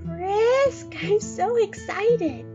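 A woman voicing an excitable, cheerful little monster kid in an audio drama, eager and childlike. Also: very high voice, extremely slow, faint music behind, full character voice. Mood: eager, excited. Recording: dull, slightly lo-fi.

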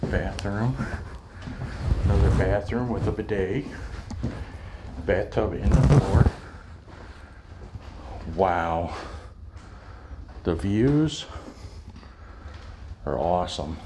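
A man's voice: lowish voice, expressive, extremely slow, pauses often, very noisy. A man vlogging while he explores an abandoned lakeside house, talking in short, awed exclamations as he goes from room to room. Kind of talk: hushed exploring commentary.

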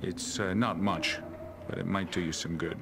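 Middle-aged male tavern regular, friendly but wary, creaky low voice, fantasy game, noisy ambience. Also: fairly even intonation, normal speed. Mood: resigned, helpful. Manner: cautioning, familiar. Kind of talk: fantasy video game dialogue.

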